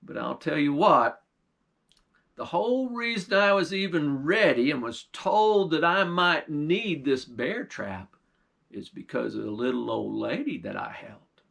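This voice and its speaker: A man's voice: a little high, animated, a little slow, vocal fry, exaggerated voice. A man doing Jack, an earnest young hero. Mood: friendly. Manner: gentle and earnest.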